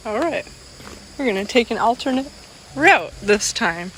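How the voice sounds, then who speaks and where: A woman's voice: fairly high pitch, expressive, measured pace, a bit bright. A woman chatting casually outdoors on a trail, rambling and filling her sentences with "like". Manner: rambling, filler-heavy, repeats "like". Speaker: vlogger. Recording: noisy outdoor audio with insect ambience. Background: insects and nature ambience.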